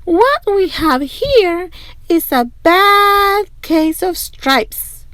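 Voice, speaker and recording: A woman voicing a plump, sweet old woman from a children's storybook, with a bright, kindly, folksy manner. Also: extremely high pitch, expressive, drawn-out words, dry recording, voice pushed up, heavily acted. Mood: bright, helpful.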